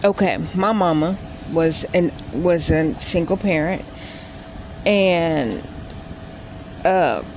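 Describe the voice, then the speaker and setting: A woman's voice: fairly low pitch, slow, long pauses, a little mellow, slight background noise, rough recording. A woman in her late thirties telling a personal story in a casual vlog, rambling and often stopping to correct herself. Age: 30s. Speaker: vlogger.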